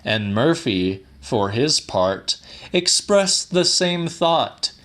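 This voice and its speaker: A man's voice: medium pitch, very animated, extremely slow, fairly bright tone. A man narrating a literary audiobook in a dramatic scripted reading style.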